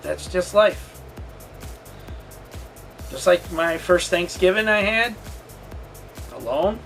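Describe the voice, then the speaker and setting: A man with a notably high voice talks through a personal story in a casual vlog style. His delivery is halting and rambling, with a candid, confessional feel. Music plays faintly behind him. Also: animated, a bit bright. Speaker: storytelling vlogger and channel host.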